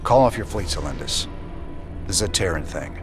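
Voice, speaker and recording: A man playing a weary, principled space rebel commander in a video game, with a folksy American drawl and gruff delivery. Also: sits low, lively intonation, brisk, music in the background. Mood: grave, resolute. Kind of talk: video game cutscene acting.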